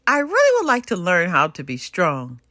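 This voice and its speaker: A woman voicing an excitable five-year-old schoolgirl in a storybook, speaking with emphasis. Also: on the low side, moderate pace, no room sound, clean studio sound, voice pushed down, exaggerated voice. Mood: wistful.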